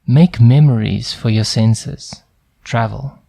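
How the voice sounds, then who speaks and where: A man reading a scripted travel commercial as a voice-over, with an evocative, unhurried delivery built on rhetorical questions. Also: medium pitch, gravelly, mellow. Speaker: voice-over artist.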